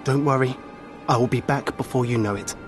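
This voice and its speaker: A man playing a downtrodden, fearful villager in a video game, with a husky voice and heartfelt delivery. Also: mid-range voice, a little fast, over music, close to an everyday voice. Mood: tender, reassuring. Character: downtrodden male villager, gentle with family, fearful. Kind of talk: video game cutscene acting.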